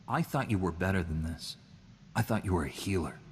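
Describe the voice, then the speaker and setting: A measured, morally earnest Jedi knight who corrects his companion calmly. His voice is very deep. A long reverb and faint hiss surround it, as in game dialogue. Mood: stern. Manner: calm, reproving, measured. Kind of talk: video game character dialogue.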